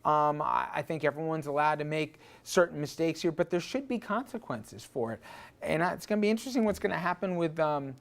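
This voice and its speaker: An American man hosting a casual panel discussion, speaking haltingly as he searches for his words. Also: on the high side, animated. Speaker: panel show host.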